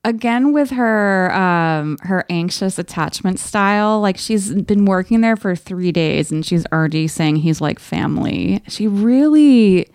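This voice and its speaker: Female co-host, American, average pitch, playful casual conversation, dry recording. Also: lively intonation, a little slow, few pauses, darkish tone, clean tone, no echo. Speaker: podcast co-host. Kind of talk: casual chatty banter.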